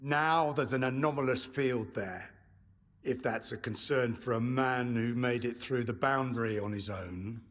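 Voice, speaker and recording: A man's voice: medium pitch, a little slow, lightly acted. A man playing a commanding, impatient militia commander in a video game, giving clipped, authoritative orders. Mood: stern, businesslike.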